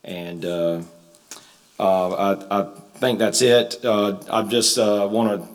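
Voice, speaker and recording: Deep-voiced man, slightly hoarse. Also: level tone. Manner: folksy and halting, with many ums and uhs. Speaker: church member leading prayer. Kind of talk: spoken prayer and announcements. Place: church sanctuary.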